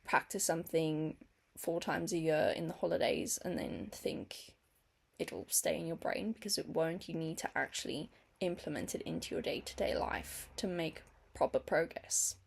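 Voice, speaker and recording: A woman's voice: low-pitched, normal speed, vocal fry, breathy edge. A young woman, a non-native English speaker, talking casually to camera as a vlogger in a chatty, earnest way. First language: not English. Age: young adult.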